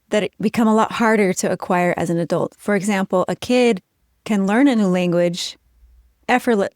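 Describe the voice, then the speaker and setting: A middle-aged woman hosting a podcast, explaining ideas in a clear, measured teaching tone. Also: medium pitch, darkish tone, no room sound, clean studio sound. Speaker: podcast host.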